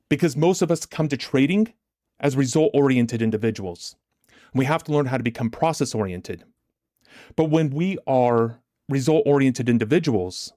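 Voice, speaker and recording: A man hosting a livestream as a motivational trading coach, deliberate and emphatic, his chest a little congested from a recent illness. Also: mid-range voice, dark tone, no room sound, clean studio sound. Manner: deliberate, emphatic, repetitive rhetorical questions. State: chesty, getting over bronchitis, clearing throat.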